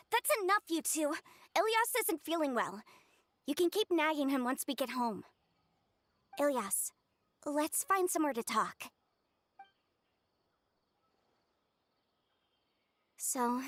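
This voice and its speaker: A woman playing a caring, protective young sister in a video game, brisk and affectionate. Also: high voice, lively intonation, fairly bright tone, dry recording. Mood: firm. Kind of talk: video game character acting.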